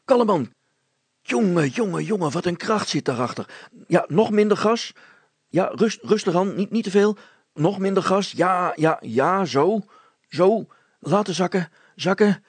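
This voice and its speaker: Guy doing an excitable storybook inventor dad, raspy and raised, stammers and repeats stuff. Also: sits high, measured pace, no echo, voice pushed up, heavily acted. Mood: urgent, agitated. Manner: stammering, repeating himself breathlessly. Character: excitable middle-aged male inventor, anxious, overjoyed father.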